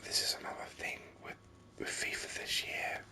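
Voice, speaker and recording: Male, breathy whisper, very bright sibilant tone, ASMR gaming commentary, unhurried, musing aloud. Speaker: video game streamer.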